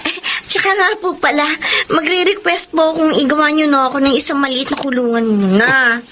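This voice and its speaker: A woman's voice: sits high, fairly even intonation, fairly quick, sharp tone, a little hiss or hum. A woman playing an eager, respectful teenage daughter with a Filipino accent in a radio drama. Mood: cheerful, coaxing.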